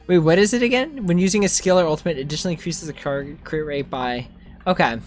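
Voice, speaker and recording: Female game streamer, lower register, casual slangy chat over faint game music. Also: quick pace, darkish tone. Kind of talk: casual livestream gameplay chat.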